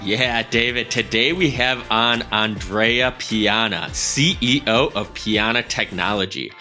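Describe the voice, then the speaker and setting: Bright-voiced upbeat podcast co-host. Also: male voice, lowish voice, measured pace, faint music behind. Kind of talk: hosting an interview. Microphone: headset on video call.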